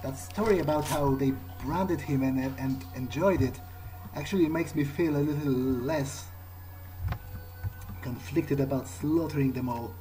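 A man's voice: medium pitch, measured pace, dark tone, soft background music, rough recording. A man doing in-character roleplay commentary over a video game, thinking aloud and often repeating words hesitantly. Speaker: gaming video player.

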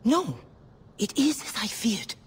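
An actress playing a proud, cautious, world-weary informant in a video game, with a husky, breathy voice, measured and weighty. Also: female voice, fairly high pitch, sing-song, in a large hall, full character voice. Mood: pleading, worried. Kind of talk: dramatic video game acting.

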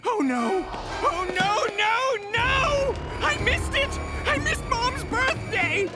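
An actor voicing a frantic, hysterical mad-scientist villain in a cartoon, shrill in manner. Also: big pitch swings, slow pace, loud music behind the voice, full character voice. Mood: panicked.

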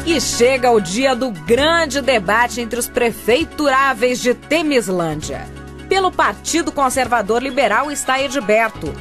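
A woman's voice: fairly high pitch, fairly bright tone. A woman giving scripted narration for a radio soap comedy.

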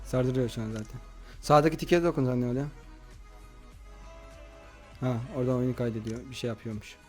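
A man hosting a horror game playthrough in slangy, excitable, casual chat, often addressing his viewers. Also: medium pitch, a little fast, soft background music. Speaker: gaming video host.

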